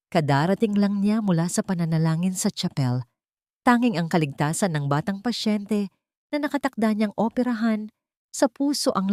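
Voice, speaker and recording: A woman's voice: fairly low pitch, a little mellow, dry recording, studio quality. A woman narrating a dramatic scripted story in a solemn, emotive way.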